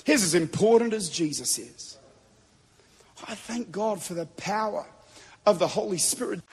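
A man preaching a Pentecostal sermon to a congregation in a large church, fervent and building to shouted declarations. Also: high-pitched, lively intonation, unhurried, a little hiss or hum. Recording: long reverb of a big hall.

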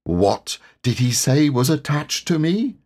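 A man plays a good-natured, slow-witted bear in a children's story. He is humble and hesitant, with a slightly raised, lilting voice and a slow, muddled delivery. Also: fairly high pitch, big pitch swings, a little mellow, pitched above the performer's own voice, heavily acted.

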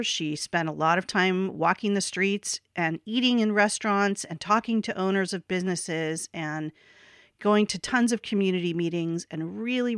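A woman's voice: on the low side, no echo, studio quality. Enthusiastic woman co-hosting a podcast. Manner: enthusiastic, conversational. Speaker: podcast co-host. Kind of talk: scripted podcast intro.

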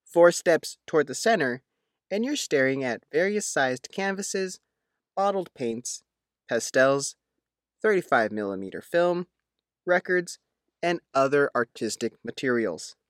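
Man reading a lively news feature. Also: a little high, unhurried, no room sound, clean studio sound. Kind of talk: scripted news narration.

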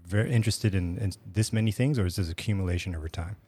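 A man interviewing a guest, asking questions in a halting way and rephrasing as he goes. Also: sits low, warm tone, slightly rough.

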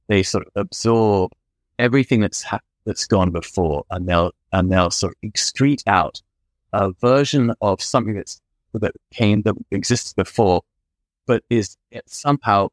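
A man with a fairly low voice speaks as a guest on a podcast. He talks at length in an enthusiastic, rambling way. The sound is dry and close-miked. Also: dry recording. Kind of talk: casual interview chat.

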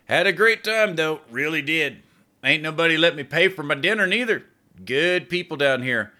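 A worn-out, easygoing athlete speaks in a folksy drawl, as a man puts him on. The voice sits above the narrator's own and moves lively, with a bright edge. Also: a little high, normal speed, voice pushed up. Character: tired, laid-back male athlete, folksy.

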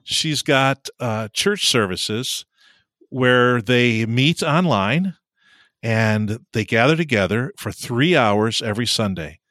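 A man's voice: mid-range voice, animated, slow, fairly bright tone, no room sound, clean studio sound. A man co-hosting a podcast in a casual, chatty, jovial and teasing way. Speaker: podcast host.

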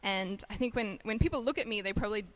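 An Australian woman delivering a sermon in a relaxed, conversational way. Her voice sits at an ordinary middle pitch, and she laughs easily at herself between points. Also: fast talker. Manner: chatty and self-deprecating, laughs easily. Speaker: guest preacher.

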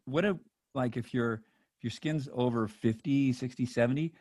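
A man interviewing a guest in a casual, joking way, loud and quick to laugh. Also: medium pitch, dry recording, clean studio sound. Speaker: interviewer host.

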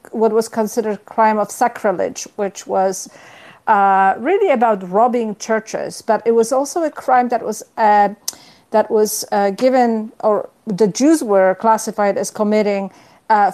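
A middle-aged woman speaks as an academic interview guest, with a Polish accent. Her voice is clean and smooth. She talks thoughtfully and haltingly, often correcting herself as she explains. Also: medium pitch, darkish tone. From Poland. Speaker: history professor, interview guest.

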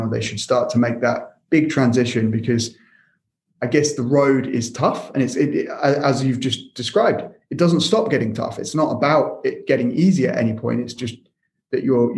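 A man's voice: medium pitch, a little mellow. A man hosting a podcast, encouraging and restating his guest's points before asking questions. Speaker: podcast host. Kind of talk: interview questions. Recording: roomy echo.

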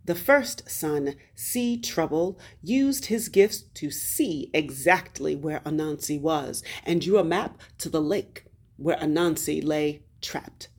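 A woman hosting as a storyteller, reading a folktale expressively and deliberately, with dramatic pauses and drawn-out words. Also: sits low, a little mellow, slightly rough. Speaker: host and storyteller.

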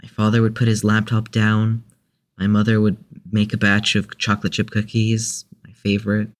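A man's voice: lowish voice, monotone, normal speed, close to an everyday voice. A man playing a mild, easygoing and slightly hesitant character in an audio drama. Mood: nostalgic. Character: easygoing, nostalgic man, mild peacemaker of the group.

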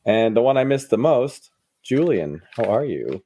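A man co-hosting a podcast with a hoarse voice, sounding sleepy and laid-back. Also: mid-range voice, sing-song, measured pace, warm tone, no echo. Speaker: podcast co-host.

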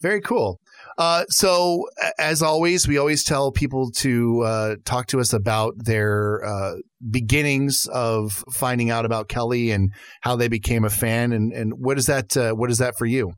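A man's voice: mid-range voice, fairly even intonation, normal speed, no room sound, clean studio sound. Man co-hosting a fan podcast. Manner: friendly, chatty interviewer. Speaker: podcast co-host. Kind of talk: casual podcast interview.

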